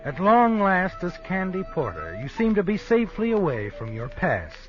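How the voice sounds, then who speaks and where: An actor playing an ominous, all-knowing night-walking narrator in a 1950s radio mystery, dramatic and insinuating. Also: male voice, sits high, wildly swooping pitch, normal speed. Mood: knowing, suspenseful. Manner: dramatic, insinuating second-person storytelling. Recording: dull vintage radio recording with music behind.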